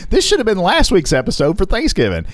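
A man co-hosting a casual podcast, reading headlines and tossing in quick jokes. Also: sits high, wildly swooping pitch, dry recording, clean studio sound. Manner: jokey, quick asides and laughs. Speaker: podcast co-host.

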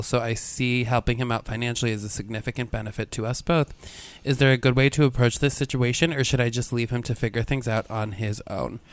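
A man in his late twenties with a smooth, clear voice at an ordinary pitch, chatting and poking fun at himself, then reading a listener letter in an even tone. The sound is dry and close-miked. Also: no echo. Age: late 20s. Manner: chatty, self-mocking, reads aloud evenly. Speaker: podcast co-host.

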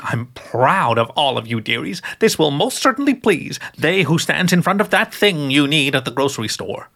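A man's voice: fairly high pitch, very animated, moderate pace, creaky, pushed and bright, voice pushed up, full character voice. A man voicing a cheerful, sly old witch woman who calls everyone dearie in a gushing manner. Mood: doting.

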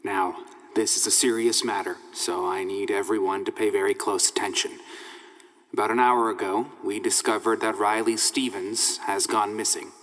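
Grave sheriff acted by a man, lowish voice, measured, official, long hall reverb, audio drama. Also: fairly even intonation, a bit bright, lightly acted. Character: grave small-town sheriff addressing a school assembly. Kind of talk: audio drama public announcement.

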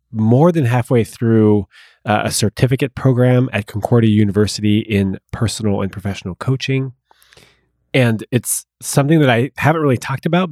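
A man's voice: on the low side, a little mellow, no room sound, studio quality. A man speaking conversationally as a podcast host and love coach, encouraging and direct.

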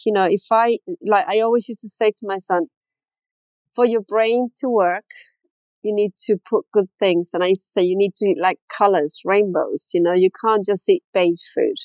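A woman in her fifties from Chile, a fashion designer as a guest, chatting candidly and with self-deprecating humor in a relaxed interview. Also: mid-range voice, moderate pace, no echo. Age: 50s. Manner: candid, self-deprecating, laughs often, many "you know"s. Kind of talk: casual interview chat.

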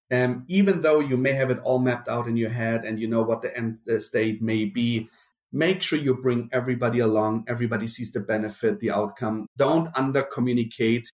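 A man's voice: medium pitch, darkish tone. A middle-aged man with a German accent, born in Brazil, speaking casually as an interview guest with many restarts. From Brazil, raised in Germany. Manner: rambling, many restarts and fillers. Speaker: marketing executive guest.

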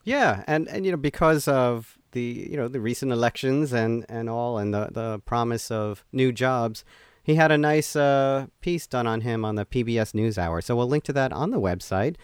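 A man's voice: mid-range voice, expressive, moderate pace, clear, resonant tone, no echo, clean studio sound. A man hosting a podcast in casual chat, stammering through fillers and restarts. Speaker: podcast host.

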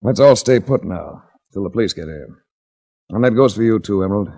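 A man's voice: fairly low pitch, lively intonation, a little fast, warm tone, breathy edge. An actor playing a tough, wry investigator hero in 1940s radio drama, dry and clipped as he narrates and talks. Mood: calm command. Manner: dry, clipped, hard-boiled. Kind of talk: 1940s radio detective drama, hard-boiled narration and dialogue.

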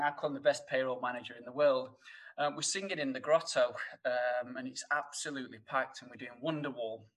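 A man's voice: medium pitch, fairly bright tone. A man from Sheffield with a Northern English accent, telling a casual story as a podcast guest, stammering and laughing often. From Sheffield, England.

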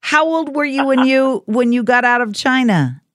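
A woman hosting a call-in radio show, chatting gently and playfully with a caller. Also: high voice, normal speed, no room sound. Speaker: radio host. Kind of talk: late-night radio call-in chat. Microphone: studio mic.

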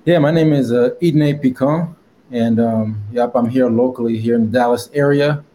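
A man's voice: medium pitch, normal speed, mellow, slight background noise. Easygoing American man telling his story. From Connecticut, USA. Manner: rambling with many fillers and laughs. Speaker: guest. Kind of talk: casual interview chat.

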